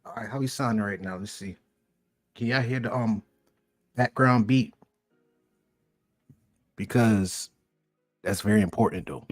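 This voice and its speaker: A man's voice: lowish voice, unhurried, pauses often, no echo, faint music behind. Laid-back man hosting a livestream. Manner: laid-back, slangy, talks to chat. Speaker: livestream commentary host. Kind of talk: casual livestream chat.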